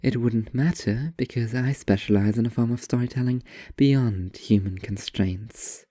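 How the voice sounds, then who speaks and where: A man's voice: medium pitch, measured pace, mellow, dry recording, clean studio sound, close to an everyday voice. A man voicing a sullen, pretentious young female writer in an audio story, curt, pompous and world-weary. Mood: curt, defensive.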